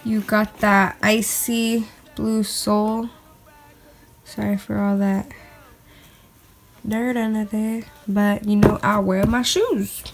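A woman giving a casual, unscripted product review in a hesitant, halting, informal way, recorded on a handheld device in a bedroom. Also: mid-range voice, flat delivery. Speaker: sneaker reviewer. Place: bedroom at home. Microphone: handheld phone or camera. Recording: clicks and light background noise.

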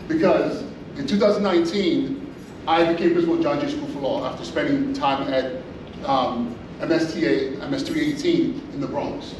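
A middle-aged man from California, a school principal giving an animated conference talk with many restarts and fillers. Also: fairly high pitch. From Inglewood, California. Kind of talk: conference presentation. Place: large hotel meeting room. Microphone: far camera, no mic. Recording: echoey room from far off, audible noise.

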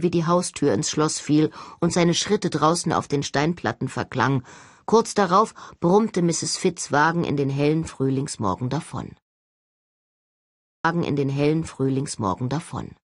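A woman reading a first-person novel as an expressive, scripted narration. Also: sits low, dry recording, studio quality. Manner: expressive, acts out dialogue. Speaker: audiobook narrator.

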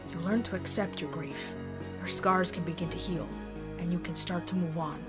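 A woman playing a gentle, forgiving soul in a comic dub, soft and soothing beneath very loud music. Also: fairly low pitch, steady tone, moderate pace, close to an everyday voice. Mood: gentle, consoling. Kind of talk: dramatic comic dub acting.

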